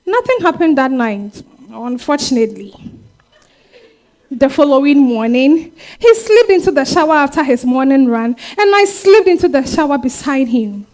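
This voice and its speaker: Picture a wronged, defiant young Ghanaian wife and mother acted by a woman in a staged drama reading. Her voice is very high. She speaks emphatically, punctuating her lines with exclamations. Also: expressive, normal speed, darkish tone, rough recording. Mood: sensual reminiscing. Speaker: stage actor. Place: live staged reading at a festival.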